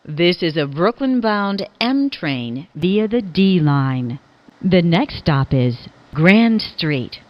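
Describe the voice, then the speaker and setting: Slow, dull-sounding female train announcer. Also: on the low side, sing-song. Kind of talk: scripted transit announcement.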